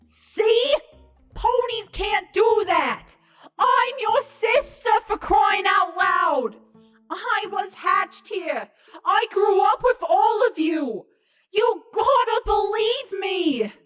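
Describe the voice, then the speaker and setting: A woman voicing a young changeling spy, cocky, excitable and defensive, pleading her case in a comic fantasy audio drama. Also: extremely high pitch, slow pace, soft background music, exaggerated voice. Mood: indignant, desperate. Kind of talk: dramatic comedy fan fiction reading.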